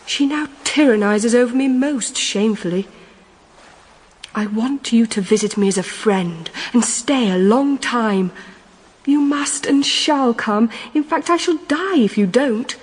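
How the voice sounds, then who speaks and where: A woman's voice: a little high, unhurried, breathy edge. An actress playing a bored, spoilt young wife reading her letter aloud, petulant and melodramatic. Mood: petulant, pleading. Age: young adult. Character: Lady Ashby, bored, spoilt, imperious young aristocratic wife. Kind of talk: period drama letter reading in character. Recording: long hall-like reverb.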